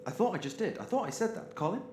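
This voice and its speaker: A bossy, needling spaceman, acted by a man, in a roomy, echoing recording with faint noise. His voice swings widely in pitch as he badgers sarcastically, in sci-fi audio drama style. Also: big pitch swings, quick pace, a little mellow. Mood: sarcastic, needling. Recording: echoey room with faint noise.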